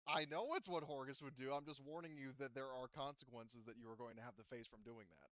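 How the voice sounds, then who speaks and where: A man's voice: medium pitch, steady tone, quick pace, a bit bright, no echo, highs cut off. A man speaking casually as game master of a tabletop session, wry and chiding with his players.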